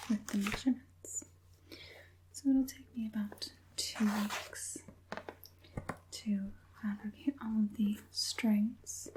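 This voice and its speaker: A woman playing a politely businesslike, secretive witch puppet master in a soft, breathy whispered ASMR roleplay. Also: a little high, poor audio. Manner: soft, unhurried, politely professional, like a fitter.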